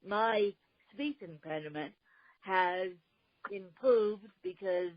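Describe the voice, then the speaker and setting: A woman hosts a podcast and speaks very slowly and deliberately, with a slight speech impediment. Her voice is mid-pitched and dry, and the audio is slightly muffled. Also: no room sound. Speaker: podcast host. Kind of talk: podcast interview.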